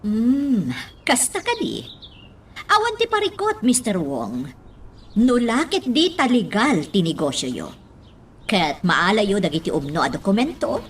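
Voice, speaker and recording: An actress playing a polite, accommodating town official in a radio drama. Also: female voice, mid-range voice, wildly swooping pitch, a little slow, slight background noise. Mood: obliging.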